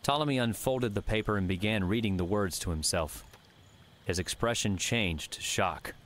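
Man, lowish register, reading fiction narration for an audiobook, slight noise behind. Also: moderate pace, a bit bright. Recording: faint background noise and ambience.

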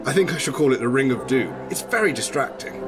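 A man's voice: medium pitch, lively intonation, quick pace, lightly acted. An actor playing a wry, charming policeman and bodyguard in a radio drama, a little mock-formal. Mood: playful. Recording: music behind.

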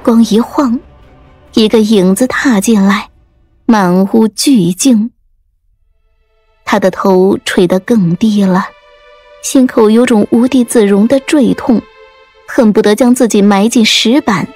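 A woman narrates a dramatic story for an audiobook. Her delivery is slow and measured, at a medium pitch, with a literary feel. Soft music plays faintly behind her. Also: breathy edge.

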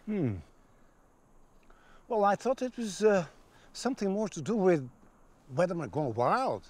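A man's voice: high voice, expressive, measured pace, darkish tone. An actor in his sixties playing a weary, sardonic news cameraman in a film drama, speaking outdoors. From Hungary. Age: 60s. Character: weary, wry older male news cameraman. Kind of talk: film acting, dramatic dialogue. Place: outdoors in an open field. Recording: noisy open-air film dialogue.

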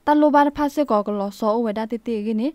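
A woman with a fairly high voice reads scripted radio news. The sound is fairly dry, with little room around her, as a news presenter would have in a studio. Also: fast, darkish tone, dry recording.

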